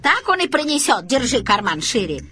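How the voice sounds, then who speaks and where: A woman's voice: very high voice, very animated, normal speed, bright, forceful tone, pitched above the performer's own voice, heavily acted. A woman voicing an angry, distrustful old woman who scolds and grumbles in a folksy way in a storybook reading. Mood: distrustful grumbling.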